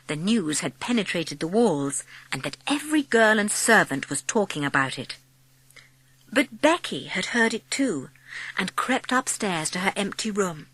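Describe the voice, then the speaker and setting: A woman's voice: mid-range voice, fairly bright tone, no room sound, poor audio. A woman narrating a children's audiobook as dramatic, scripted storytelling. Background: music.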